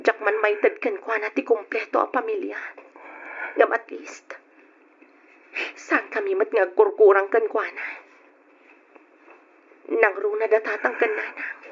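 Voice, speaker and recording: A young woman in an old radio drama, earnest and expressive. Her voice sits fairly high and husky, on a muffled lo-fi recording. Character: hurt but forgiving young mother, firm and dignified. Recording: dull lo-fi radio drama audio.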